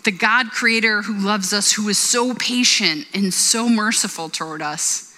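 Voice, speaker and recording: A woman's voice: medium pitch, unhurried, sharp tone. A woman in her forties preaching a sermon in a church, earnest and conversational, with personal stories. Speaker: pastor. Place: church hall. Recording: reverberant church hall.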